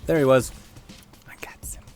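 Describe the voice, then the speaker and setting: A man giving casual gameplay commentary while playing a shooter, with a congested throat he keeps clearing, chatting to viewers and reacting aloud. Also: moderate pace, darkish tone, in a whisper, soft background music. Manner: chatty, reacts aloud to the game, answers chat. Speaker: gaming YouTuber.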